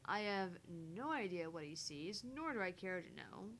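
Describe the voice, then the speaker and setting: A woman's voice: big pitch swings, normal speed, fairly bright tone. A woman reading a visual novel's narration aloud as a player, with casual asides. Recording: faint background rumble and noise.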